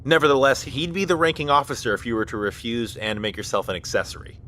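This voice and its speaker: A man's voice: mid-range voice, fairly even intonation, fast, bright, forceful tone, slight background noise, natural voice. A man playing a stern, by-the-book military officer in an audio drama, speaking formally and procedurally. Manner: formal, procedural, addresses others as sir and ma'am.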